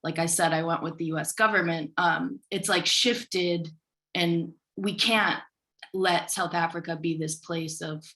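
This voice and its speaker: A woman's voice: on the low side, steady tone, unhurried, pushed and bright. Casual American woman on a video call. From United States. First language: English. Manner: casual, hedging with fillers like "um" and "like". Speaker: forum participant making a comment.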